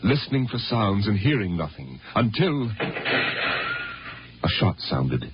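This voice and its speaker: An actor narrating a 1950s radio drama as a grave, solemn and theatrical storyteller. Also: male voice, lowish voice, sing-song, unhurried, heavy background noise. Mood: solemn, dramatic. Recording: dull 1950s radio recording with loud music.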